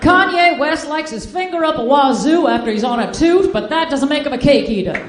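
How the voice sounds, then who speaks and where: A woman's voice: sits high, animated, brisk, crowd noise. An American woman from Boston doing stand-up comedy, talking in an old-fashioned 1940s manner. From Boston, United States. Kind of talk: stand-up comedy to a live audience. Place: indoor live comedy show, audience behind. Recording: echoey live room with audience.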